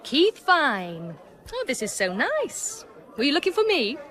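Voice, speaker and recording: A woman's voice: high voice, huge pitch swings, unhurried, fairly bright tone, full character voice. An actress playing a brash, flirtatious female gun dealer in a radio crime drama, with a teasing, knowing manner. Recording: outdoor crowd ambience behind.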